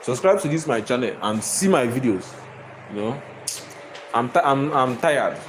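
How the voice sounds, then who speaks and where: Hoarse man ranting in his shop. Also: mid-range voice, animated, moderate pace, a little hiss or hum, low-quality recording. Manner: repetitive, emphatic, stammering restarts, bursts of laughter. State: hoarse, lost voice. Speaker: shop owner vlogger. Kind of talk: angry ranting vlog. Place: inside his own shop.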